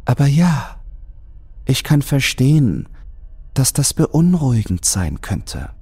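A man voicing a polite, calm, reassuring woman speaking from a safe in a horror audiobook. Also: medium pitch, takes it slowly, breathy edge, voice pushed up, exaggerated voice.

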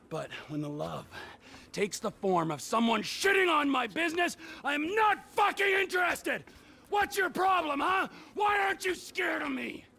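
Shouting, gravelly unhinged criminal man. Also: very high-pitched, full character voice. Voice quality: raspy, gravelly. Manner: ranting, swinging from hushed to shouting. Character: menacing, unhinged middle-aged male criminal, volatile and crude. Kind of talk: video game cutscene acting.

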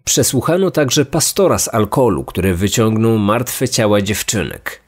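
A man's voice: fairly low pitch, very animated, moderate pace. A man reading a scripted true-crime narration as a podcast narrator. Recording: music under the voice. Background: background music.

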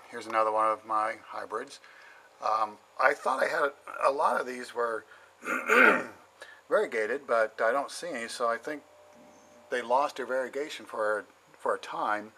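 A middle-aged man giving a casual, halting tour of his basement plant shelves, with many ums and frequent throat clearing, recorded on a handheld camera. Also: medium pitch, bright, forceful tone. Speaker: home plant grower. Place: indoor basement grow shelves.

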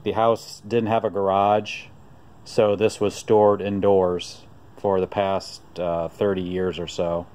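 A man with a low, even voice that stays flat and clear. He tells his story off-camera outdoors on a phone, relaxed and rambling, with lots of pauses. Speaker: vlogger showing a vintage find. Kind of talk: casual off-camera narration. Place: outdoors on a driveway.

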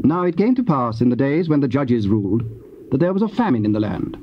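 A man narrating scripture in a solemn, measured, formal style as part of an audio drama. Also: medium pitch, sing-song, close to an everyday voice. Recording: muffled hissy old cassette tape.

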